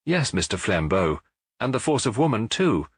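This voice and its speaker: A haughty young modern British woman acted out by a male audiobook narrator speaks with lofty, brisk emphasis. The voice is husky and fiery in temper, recorded dry. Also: lowish voice, big pitch swings, dry recording. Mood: lofty, defiant. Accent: British English.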